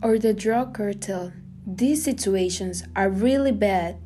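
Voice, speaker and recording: A woman's voice: mid-range voice, slow. A woman from Guatemala, earnestly reading a scripted spoken piece. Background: guitar music.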